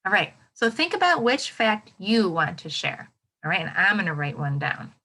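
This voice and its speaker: A woman's voice: mid-range voice, sing-song, normal speed, bright, forceful tone, poor audio. A woman with an American accent reading aloud to children as a teacher, exclaiming and putting questions to her young listeners. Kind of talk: children's read-aloud lesson with commentary.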